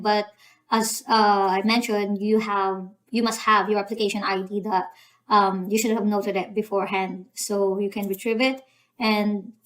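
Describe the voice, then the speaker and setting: A woman's voice: medium pitch, poor audio. A young woman with a Filipino accent, casually talking through an online form step by step, with many "um"s and "you know"s. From Philippines. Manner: hesitant, full of "um" and "you know" fillers. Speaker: channel host walking through a form. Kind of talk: casual screen-recorded tutorial.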